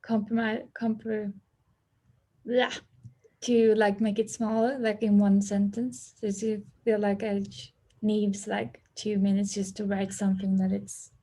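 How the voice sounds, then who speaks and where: A woman's voice: medium pitch, little pitch movement, unhurried, mellow, low-quality recording. A woman taking part in a workshop, thinking aloud casually and searching hesitantly for her words. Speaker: workshop participant. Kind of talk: casual spoken reflection. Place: virtual workshop over video call.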